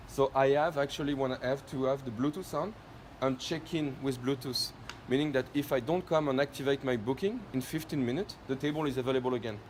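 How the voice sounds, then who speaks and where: A man's voice: mid-range voice, a little fast, hardly any pauses, darkish tone. French guy doing a casual product demo, keeps checking you follow, echoey room w/ background noise. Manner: explanatory, often ends points with checking tag questions. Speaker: company presenter demonstrating a product.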